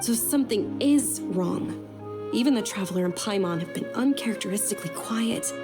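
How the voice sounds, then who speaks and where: An actress playing a gentle young noblewoman in a game, speaking softly and courteously. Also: medium pitch, lively intonation, normal speed, over music, natural voice. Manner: soft, courteous, careful. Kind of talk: game voice acting.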